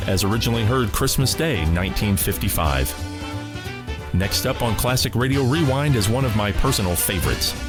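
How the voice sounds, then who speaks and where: A man presenting classic radio shows as host, reading a scripted introduction. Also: lowish voice. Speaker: host of an old-time radio rerun show. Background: guitar music.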